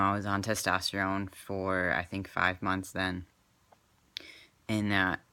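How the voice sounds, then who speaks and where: A man in his twenties talking in a casual personal vlog, soft-spoken and hesitant, with a slightly crackly voice and many pauses and fillers. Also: low-pitched, smooth, no room sound. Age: 20s. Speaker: vlogger.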